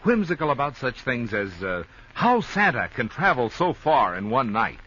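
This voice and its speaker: An American man reading a playful sponsor pitch as a 1950s radio announcer. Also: very animated, normal speed, pushed and bright. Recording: muffled, faintly noisy 1950s radio recording.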